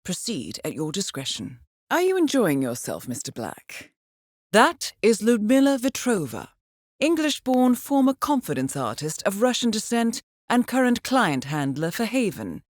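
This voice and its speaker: A woman's voice: medium pitch, huge pitch swings, measured pace, no room sound, studio quality. An actress playing a cool-headed female intelligence handler in a video game, giving scripted mission briefings in a composed, formal, dryly understated way. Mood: dry, wry.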